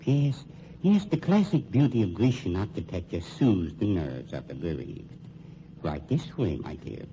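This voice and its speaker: An actor playing a suave, menacing funeral director turned sleuth, with an American accent and formal, pompous, insinuating speech in old-time radio drama style. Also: male voice, sits low, very animated, unhurried. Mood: silkily insistent. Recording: dull, noisy old radio recording.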